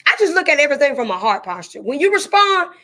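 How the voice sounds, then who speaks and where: A woman's voice: high-pitched, very animated, fast, a bit bright. A woman speaking candidly and emphatically, with a preachy, repetitive cadence. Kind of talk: candid testimony-style chat.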